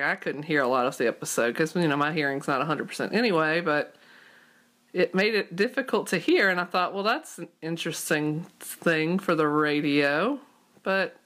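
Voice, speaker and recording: A woman's voice: sits low, lively intonation, moderate pace, a bit bright. A woman co-hosting a podcast in casual chat, with a wry, joking manner. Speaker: podcast co-host.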